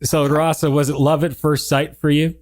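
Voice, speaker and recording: A man's voice: fairly high pitch, level tone, normal speed, darkish tone. A man from Connecticut hosting a podcast interview, chatty and enthusiastic, often laughing. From Connecticut, USA. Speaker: podcast host. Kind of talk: casual interview chat.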